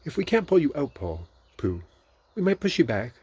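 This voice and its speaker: A man voicing a fussy, chatty male rabbit from a storybook, talking in a high, prim, busy way. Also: very animated, normal speed, a little mellow, slightly rough, dry recording.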